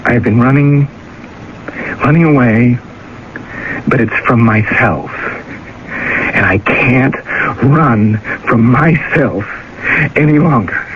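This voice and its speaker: An actor playing a tormented, exhausted young former student in a radio drama, speaking in a halting, anguished way. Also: male voice, medium pitch, lightly acted. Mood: despairing, tender. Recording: muffled, very noisy vintage radio recording.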